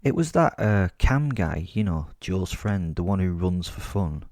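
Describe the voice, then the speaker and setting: Man acting as male werewolf narrator, low-pitched, dark soft tone, wry, sweary, easily bored, audio drama. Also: very animated, a little slow, dry recording, studio quality, full character voice. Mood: amused suspense. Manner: conversational, wry, casually sweary. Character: bored, cheeky, foul-mouthed male werewolf recounting his hunt.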